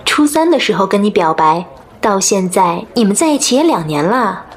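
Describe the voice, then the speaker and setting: Radio drama friend, actress: caring, gently chiding young woman, mid-pitched and very animated. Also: moderate pace, a little hiss or hum, lightly acted. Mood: concerned. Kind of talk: modern Chinese radio drama acting.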